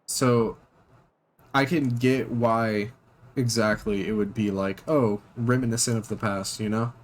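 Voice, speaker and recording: A man giving casual reaction commentary, halting with ums and restarts, blunt and profane. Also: lowish voice, fairly even intonation. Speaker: reaction channel host.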